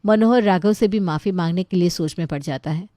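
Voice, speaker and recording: A woman's voice: lowish voice, a little fast, mellow, no room sound, studio quality. A woman narrating a scripted story as a storyteller.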